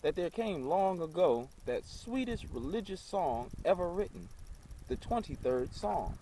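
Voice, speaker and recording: Man reading as kindly, earnest cultured male guest, mellow. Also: high voice, sing-song, measured pace, a little hiss or hum, voice pushed up, full character voice, poor audio. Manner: earnest, gentle, explanatory.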